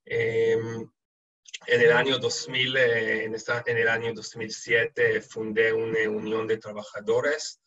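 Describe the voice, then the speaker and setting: A man from Israel speaking with an Israeli accent, giving an online lecture with frequent halting "eh" fillers. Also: mid-range voice, monotone, bright, forceful tone. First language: Hebrew. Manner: halting, many hesitant "eh" fillers. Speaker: guest speaker. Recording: lo-fi video call audio.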